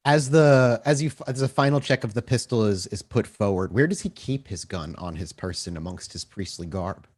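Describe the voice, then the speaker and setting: A man's voice: lowish voice, normal speed, hardly any pauses, husky. A man running a tabletop roleplaying game, narrating in wordy, cinematic detail and putting questions to players. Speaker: game master and storyteller.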